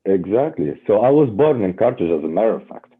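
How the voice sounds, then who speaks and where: A man's voice: lowish voice, big pitch swings, fairly quick, slightly rough. A man in his forties from Tunisia, a podcast guest talking casually with many hesitant fillers, heard over a phone line. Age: 40s. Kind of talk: casual interview chat.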